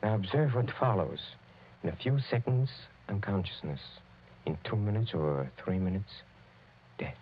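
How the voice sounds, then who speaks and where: An actor playing a suave, sinister aristocratic count with deliberate menace in a 1940s radio suspense play. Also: male voice, on the low side, expressive, natural voice. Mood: gloating. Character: sinister, courteous aristocratic count, mad with witchcraft. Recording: muffled, noisy old radio recording.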